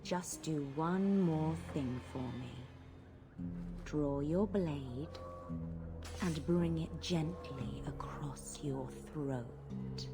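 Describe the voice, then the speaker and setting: An actress playing a seductive, manipulative blood mage courtesan in a video game. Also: female voice, fairly low pitch, animated, a little slow. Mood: sultry, menacing. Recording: game audio with music behind.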